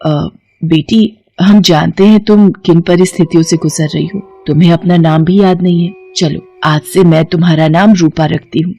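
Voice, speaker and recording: A woman's voice: lowish voice, fairly even intonation, moderate pace, gravelly, dark tone, a little hiss or hum. Woman acting a worried older village mother. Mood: concerned, kindly. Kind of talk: dramatised Hindi horror story acting.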